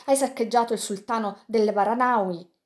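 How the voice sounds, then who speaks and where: A woman reading an audiobook, voicing a loyal, excitable male pirate friend who speaks in a raised, pleading tone. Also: normal speed, close to an everyday voice. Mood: anxious. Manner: excited, pleading, raised and higher than the narration.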